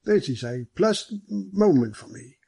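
A man acting as a large, genial middle-aged superintendent, speaking courteously and heartily in a raised voice. The tone is slightly husky. The recording is close and dry. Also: high-pitched, expressive, measured pace, warm tone, no room sound, pitched above the performer's own voice, full character voice.

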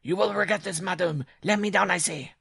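A man's voice: high-pitched, brisk, creaky, pushed and bright, breathy edge, no room sound, studio quality, pitched above the performer's own voice, heavily acted. A man voicing a tiny, proud male dwarf from a circus, indignant and vengeful, in a theatrical storybook manner. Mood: furious, protesting.

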